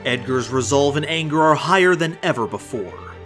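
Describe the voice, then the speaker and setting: A man's voice: on the high side, animated, moderate pace, a bit bright. A man giving dramatic scripted narration for an audio drama. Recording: music behind the voice.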